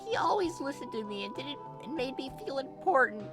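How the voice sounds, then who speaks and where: A woman's voice: high voice, big pitch swings, a little fast, over music, voice pushed up. A woman voicing a timid, anxious teenage boy who speaks hesitantly, earnest and pleading. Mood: earnest, nervous.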